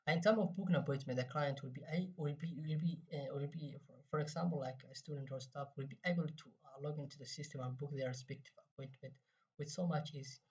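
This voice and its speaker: Young male student presenter, Somali accent, halting delivery with repeated words, dull recording at some distance from the microphone. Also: on the high side, fairly even intonation, a little mellow. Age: 20s. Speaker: university student presenting a project. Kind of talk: semi-scripted project presentation.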